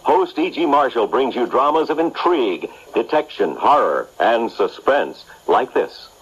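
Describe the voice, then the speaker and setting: A man's voice: mid-range voice, very animated, unhurried, muffled recording, slight background noise. An American man performing as a polished, dramatic announcer in a 1970s-style radio promo. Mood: dramatic, inviting. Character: dramatic announcer selling mystery thrillers.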